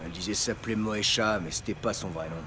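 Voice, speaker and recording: An actor playing a stubborn, streetwise small-time informant talking in casual slang in an audio drama. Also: male voice, lowish voice, fast, a little hiss or hum, natural voice. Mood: worried, insistent. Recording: loud music and city vehicle sounds behind.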